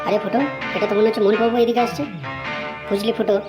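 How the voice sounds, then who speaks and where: Performer as a rapid-fire gossipy cartoon sidekick lad. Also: mid-range voice, fairly even intonation, loud music behind the voice, close to an everyday voice. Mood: teasing, agreeable. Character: gossipy young male sidekick, agreeable and teasing. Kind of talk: amateur comedy cartoon dialogue.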